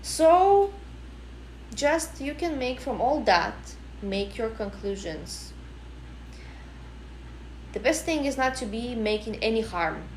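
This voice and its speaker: A woman's voice: a little high, roomy sound, poor audio. Woman, Russian accent, rambling non-native English, livestream host, faint background noise. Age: 30s. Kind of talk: casual livestream talk.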